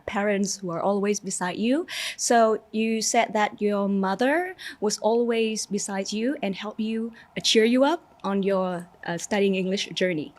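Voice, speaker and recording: A woman whose first language is Vietnamese, interviewing in English, encouraging and often pausing on "uh.". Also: mid-range voice. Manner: encouraging, hesitant with fillers. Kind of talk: interview questions.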